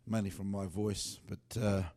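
A man with a fairly low voice talks about his music in a relaxed, unscripted way. He rambles, trails off and starts over, filling gaps with "uh". It sounds like a worship singer-songwriter chatting to listeners. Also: measured pace. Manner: loose and chatty, with many fillers and restarts. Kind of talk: casual rambling talk.

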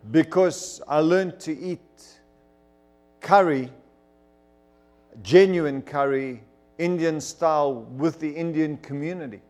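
A man's voice: fairly high pitch, takes it slowly, pauses often, a little mellow, faint music behind. A middle-aged man from Zimbabwe, preaching a sermon as emphatic storytelling with bursts of fervor. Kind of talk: sermon testimony to a congregation.

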